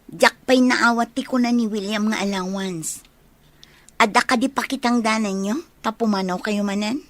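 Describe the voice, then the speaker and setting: A woman's voice: mid-range voice, moderate pace, no echo, natural voice. A woman playing a hesitant, money-minded young village daughter in an Ilocano comedy radio drama. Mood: plain.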